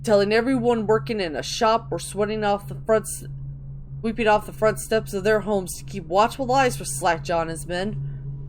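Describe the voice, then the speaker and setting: A woman's voice: medium pitch, slight background noise. A woman giving a theatrical dramatic reading of video game texts, stumbling over words and restarting now and then.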